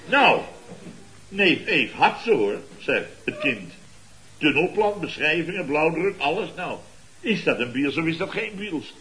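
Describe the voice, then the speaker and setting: An actor playing a blustering, indignant middle-aged boss of a building firm in a radio comedy, exclaiming and repeating himself. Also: male voice, fairly high pitch, sing-song, unhurried, sharp tone, a little hiss or hum, exaggerated voice, rough recording. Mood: exasperated.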